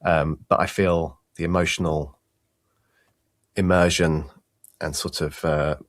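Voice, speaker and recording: A man talking casually as a sound designer in an interview, in halting, loose phrases. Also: a bass voice, level tone, no echo, clean studio sound.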